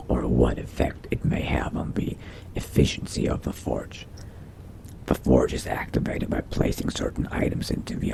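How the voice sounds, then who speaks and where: A man voicing a brooding, studious vampire mage in a video game roleplay, musing quietly to himself in a whispery, gravelly voice. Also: very deep voice, slight background noise, exaggerated voice. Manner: slow, measured, musing to himself. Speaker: roleplay gamer. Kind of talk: in-character game roleplay narration.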